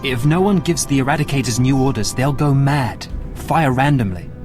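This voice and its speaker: An actor playing a dutiful, earnest intelligence analyst in a video game, speaking in a businesslike way. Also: male voice, mid-range voice, moderate pace, over music, natural voice.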